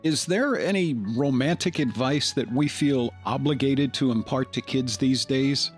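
A man of average pitch leads a reflective conversation. He muses thoughtfully as he speaks, and music plays beneath his voice. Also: measured pace. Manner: musing, thoughtful. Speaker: discussion moderator. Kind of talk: reflective discussion hosting.

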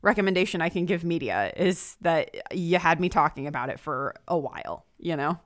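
Lower voiced woman guest on a book podcast, chatty and into it, really dry recording. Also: animated, a little fast, no echo. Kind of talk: casual book discussion chat. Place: remote call.

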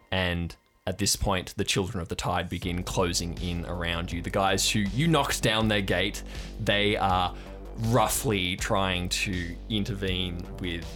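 A man with a fairly low voice acts as game master for an improvised audio drama. He builds scenes aloud in descriptive narration, with faint music playing underneath. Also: fairly bright tone.

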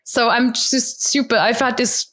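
A woman from Germany, a guest in an interview, explaining her study habits in a chatty way with many restarts, sometimes acting out quotes in a higher voice. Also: fairly even intonation, a little slow, a bit bright. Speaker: interview guest, language learner. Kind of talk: casual conversational explanation.

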